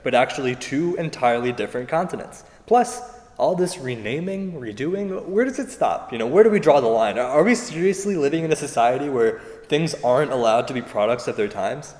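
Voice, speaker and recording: An opinionated male panelist played by an actor argues in a conversational way in a staged debate. The mid-pitched voice echoes through a large hall with long reverb. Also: lively intonation, fairly quick, darkish tone, close to an everyday voice. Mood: incredulous. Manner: conversational, argumentative.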